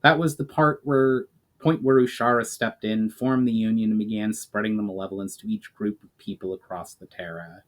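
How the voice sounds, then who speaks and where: A man's voice: lowish voice, fairly even intonation, moderate pace, close to an everyday voice. A man voicing a grave, knowledgeable elf mage on a quest, speaking formally and earnestly in a storybook reading. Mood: solemn.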